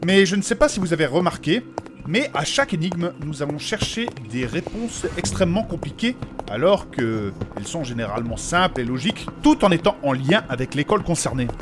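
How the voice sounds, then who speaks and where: A man acts as a courteous, fussy and learned adventurer in a comic fantasy audio drama. His voice is high for a man, and his diction is formal, precious and old-fashioned. Faint music runs underneath. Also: sing-song, fairly quick. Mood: thoughtful.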